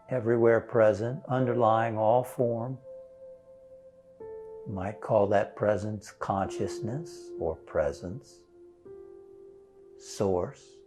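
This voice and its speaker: A man leading a guided meditation as a minister, speaking in a gentle, soothing, unhurried way with long pauses. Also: mid-range voice, steady tone. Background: instrumental music.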